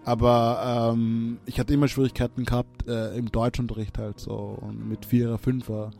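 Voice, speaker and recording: A musician, answering interview questions in a reflective way, with many restarts and fillers. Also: male voice, mid-range voice, flat delivery, moderate pace, creaky, dark tone, faint music behind. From Austria. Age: 30s.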